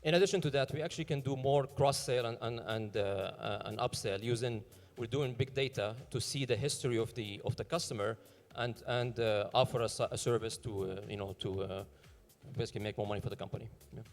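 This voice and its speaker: A man pitching his startup and answering questions on stage, talking fast with many fillers and restarts. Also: in a large hall, faint music behind.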